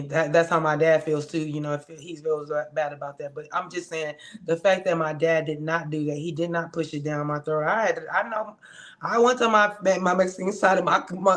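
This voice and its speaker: Relaxed woman giving frank opinions. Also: low voice. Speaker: podcast co-host recapping reality TV. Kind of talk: casual chat.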